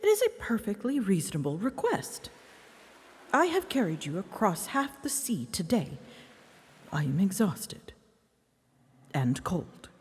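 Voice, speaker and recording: A woman voicing a prickly, proud ancient dragon with guarded tenderness in an ASMR roleplay, speaking formally and haltingly, often dropping toward a whisper. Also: mid-range voice, big pitch swings. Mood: bashful, defensive. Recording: echoey, reverberant, faint background ambience.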